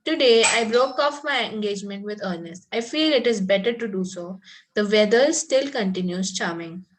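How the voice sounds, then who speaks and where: A woman's voice: medium pitch, lively intonation, normal speed, background noise, lightly acted. A woman reading the part of a fanciful, coy Victorian young lady in an amateur table read, prim and earnest, with occasional stumbles. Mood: matter-of-fact.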